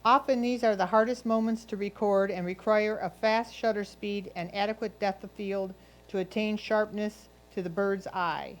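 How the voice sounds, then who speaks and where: A woman reads prepared photo critiques aloud in the role of a competition reader. Her voice sits at a middle pitch for a woman. The delivery is that of someone voicing another person's written notes. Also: steady tone, moderate pace, low-quality recording. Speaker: reader of judges' comments.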